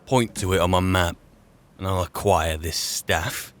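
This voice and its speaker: A man's voice: deep voice, measured pace, fairly bright tone. A man playing a weary, grumbling wandering mage, musing and muttering drily in an in-character video game roleplay. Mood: dry, irritated. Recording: faint game ambience noise.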